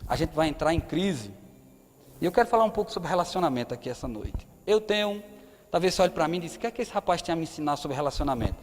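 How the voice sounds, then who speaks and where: A man's voice: on the high side, lively intonation, fast, slight background noise. A man in his thirties with a northeastern Brazilian accent, preaching in a conversational style and often asking the audience questions. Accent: Brazilian Portuguese, northeastern. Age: 30s. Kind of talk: sermon. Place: church hall. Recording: long hall reverb.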